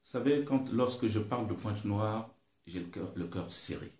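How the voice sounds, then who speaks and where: A man's voice: fairly low pitch, moderate pace, darkish tone. A middle-aged man from Congo, talking indignantly and emphatically as an interview guest in a living room. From Republic of the Congo. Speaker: guest, political commentator. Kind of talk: impassioned interview talk. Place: living room, seated in armchair. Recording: roomy echo.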